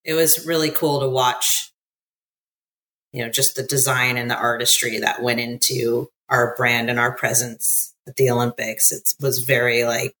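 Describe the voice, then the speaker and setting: A woman's voice: extremely low pitch, fairly even intonation, moderate pace, a bit bright, low-quality recording. An American woman from the United States talking as an interview guest in a chatty way, with many fillers and easy laughs. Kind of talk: casual interview conversation.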